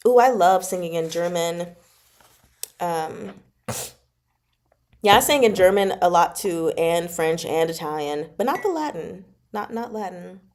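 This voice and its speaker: Chatty, giggly woman retelling a story. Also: medium pitch. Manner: animated, giggly, slangy, acts out characters' reactions. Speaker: book club host and storyteller. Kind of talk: casual chat, retelling a novel to viewers.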